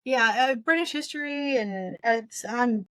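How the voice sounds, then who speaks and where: A woman's voice: a little high, a little slow, a bit bright. A middle-aged woman co-hosting a book podcast, chatting casually and repeating short phrases for emphasis. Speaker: podcast co-host.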